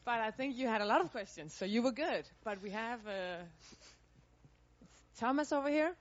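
A woman moderating a workshop Q&A, brisk and lightly joking. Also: on the high side, animated, highs cut off. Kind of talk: running a Q&A session.

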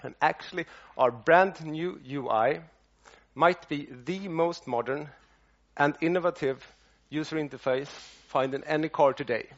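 A man's voice: fairly high pitch, measured pace, highs cut off. A man with a Swedish accent giving a presentation as a design lead, explaining things step by step with a light joking touch. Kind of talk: presentation to an audience.

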